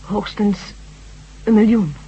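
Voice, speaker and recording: A woman's voice: mid-range voice, slow pace, mellow, husky. An actress playing an earnest, wistful woman survivor of an ancient people in a Dutch radio drama. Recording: dull, humming old radio recording.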